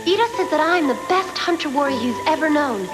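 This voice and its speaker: High-pitched, earnest cyborg warrior girl. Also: lively intonation, fast. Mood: tender, resolute. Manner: earnest, dramatic. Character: young cyborg warrior girl, devoted, fierce, lovestruck. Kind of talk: dubbed anime voice acting. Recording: music behind, old VHS tape dub.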